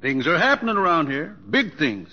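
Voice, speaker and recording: A man's voice: fairly high pitch, very animated, normal speed. An actor playing a proud, exasperated middle-aged rancher father in an old radio drama, brusque and lecturing. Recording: dull old radio recording.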